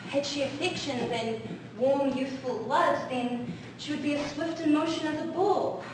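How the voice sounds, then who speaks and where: A woman's voice: sits high, normal speed, close to an everyday voice. A woman playing an eager, impatient teenage girl in love, declaiming and pleading in Shakespearean stage style. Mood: impatient, longing. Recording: very echoey, distant, noisy stage recording.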